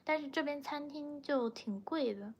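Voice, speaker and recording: A woman's voice: sits high, normal speed, a little mellow. A young woman from China, a native Mandarin speaker with a Chinese accent, chatting casually as a livestream host and replying to viewers. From Chongqing, China. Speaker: livestream host, language teacher.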